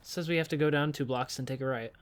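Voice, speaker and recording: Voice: mid-range voice, fairly even intonation, quick pace, a little hiss or hum, natural voice. An actor in a fiction podcast playing an awkward, evasive small-town local who is hesitant but eager to help. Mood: nervous, helpful.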